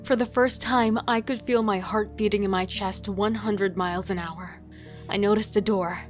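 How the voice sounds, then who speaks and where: Over prominent music comes an actress playing a steely female thief and killer in a horror audio drama. She speaks at a middling pitch with tense, dramatic delivery, moving between storytelling and in-scene dialogue. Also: steady tone, normal speed, natural voice. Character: Eve, steely foul-mouthed female killer and thief narrating her ordeal. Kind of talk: first-person horror audio drama narration and acting.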